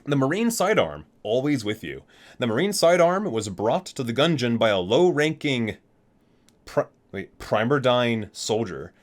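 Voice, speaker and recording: A man's voice: mid-range voice, big pitch swings, measured pace. A man hosting an ASMR unboxing, chatting casually and reading aloud, rambling, correcting himself and marveling at small details. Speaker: video host, gaming fan.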